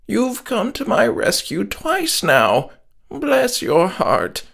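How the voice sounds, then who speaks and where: A sweet little old lady from a horror audiobook, acted by a man. The voice is pitched far up, and the words come slowly and drawn out, with a gentle, flustered politeness. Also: huge pitch swings, gravelly, heavily acted. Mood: grateful, beaming. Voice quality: whistling through thin lips.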